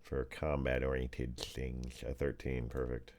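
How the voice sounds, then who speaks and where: A man's voice: rumbling low, monotone, unhurried, a little mellow. A man acting as game master, narrating a tabletop role-playing game in casual, slangy language.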